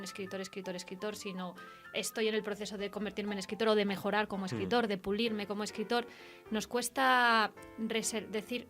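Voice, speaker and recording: A woman's voice: medium pitch, a bit bright. Female radio talker, Castilian accent, conversational and reflective, light music bed. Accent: Castilian Spanish. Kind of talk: casual literary conversation.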